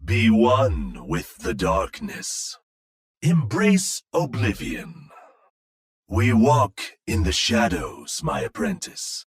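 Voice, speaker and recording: A very husky, slow dark mystic. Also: male voice, mid-range voice, huge pitch swings, heavily acted. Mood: solemn, ominous. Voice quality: husky, breathy. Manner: slow, solemn, ominous. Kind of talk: video game character voice lines.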